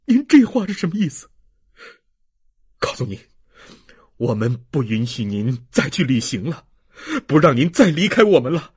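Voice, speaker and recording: A man voicing a passionate young gentleman in an audiobook, emphatic and declamatory, overwhelmed with grateful emotion. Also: medium pitch, very animated, moderate pace, pauses often, slightly rough. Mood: insistent.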